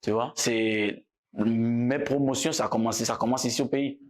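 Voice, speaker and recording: A man from Togo, a musician guest talking in a casual interview, relaxed and halting, often repeating words. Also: mid-range voice.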